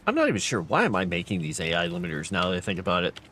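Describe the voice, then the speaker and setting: A man's voice: low voice, huge pitch swings, rapid-fire, bright, forceful tone, a little hiss or hum. Tired man rambling through a game stream. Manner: thinking aloud, rambling, chatty with viewers. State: tired, fading late at night. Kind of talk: casual gameplay live stream commentary.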